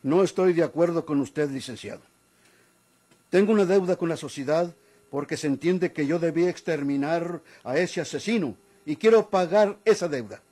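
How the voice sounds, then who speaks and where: A man's voice: on the high side, normal speed, dark tone, natural voice. An older Mexican man acting in a golden-age radio drama as a proud retired policeman, formal and deferential yet stubbornly insistent. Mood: aggrieved, insistent. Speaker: actor.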